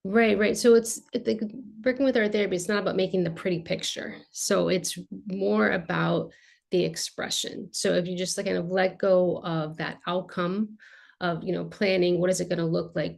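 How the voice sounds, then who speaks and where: Woman in her 30s, art therapist guest, gravelly low voice, animated, video call from a home studio. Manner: animated, explains with hand gestures, many fillers. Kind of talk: casual video-call interview. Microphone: video call webcam.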